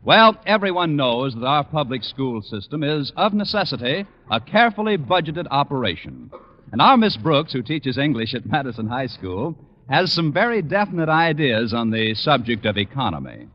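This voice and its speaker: A man's voice: medium pitch, very animated, moderate pace. A man announcing an old radio comedy show with a scripted introduction. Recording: reverberant, dull old radio recording.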